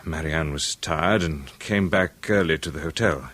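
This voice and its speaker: An actor playing a tormented man in a 1970s radio drama, talking haltingly with bitter nervous laughs. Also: a bass voice, a bit bright, heavily acted. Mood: haunted confession. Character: tormented middle-aged male musician, haunted and bitter.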